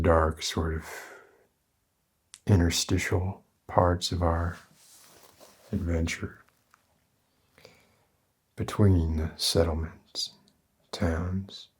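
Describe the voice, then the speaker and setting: A man acts out a weary, tender middle-aged father figure from the in an ASMR roleplay. His drawl is low and husky, with little melodic movement. He speaks softly and haltingly, gruff yet gentle. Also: exaggerated voice. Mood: reflective, gentle. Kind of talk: soft-spoken ASMR roleplay.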